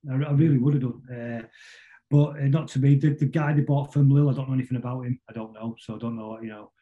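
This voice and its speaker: A middle-aged man with a Manchester accent, chatting casually as an interview guest in a rambling, halting way full of tag questions. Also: medium pitch, flat delivery, narrow, tinny audio. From Manchester, England. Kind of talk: casual conversational football chat.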